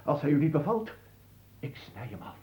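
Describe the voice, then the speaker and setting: Pompous amorous nobleman, mellow voice, sweeping intonation, radio drama, echoey room. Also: fairly high pitch, big pitch swings, normal speed. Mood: ardent bragging. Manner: pompous, florid declamation. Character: boastful, gushingly amorous Spanish nobleman. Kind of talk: theatrical radio drama acting.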